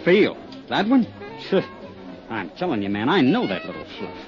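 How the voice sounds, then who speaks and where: An American actor playing a slangy, wisecracking roadhouse owner with a breezy, sardonic patter in a 1950s radio drama. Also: male voice, medium pitch, huge pitch swings, moderate pace. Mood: cynical, needling. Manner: jive-talking, breezy, sardonic. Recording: dull vintage radio recording, music behind.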